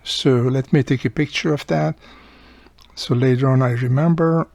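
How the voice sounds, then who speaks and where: A man casually hosting a hands-on repair tutorial, explaining step by step and repeating key points, with a gravelly, husky voice. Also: medium pitch, steady tone, moderate pace, a little mellow. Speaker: radio repair video host.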